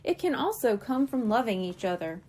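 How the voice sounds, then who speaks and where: A woman voicing a gentle, loving mother cat-mermaid who speaks softly and soothingly. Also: fairly high pitch, big pitch swings, moderate pace. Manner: soft, soothing.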